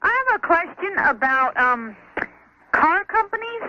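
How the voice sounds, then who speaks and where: A woman's voice: very high-pitched, very animated, measured pace, dry recording. An American woman calling a radio show by telephone, casually and a little tentatively explaining her question. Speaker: caller to radio advice show. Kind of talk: casual phone conversation. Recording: muffled noisy phone line on radio.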